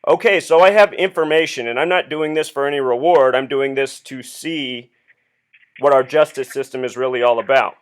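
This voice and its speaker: A man speaking as a caller on a phone call, earnestly explaining his theory in an insistent, deliberate, questioning way. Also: mid-range voice, clear, resonant tone. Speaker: caller phoning the police with a theory.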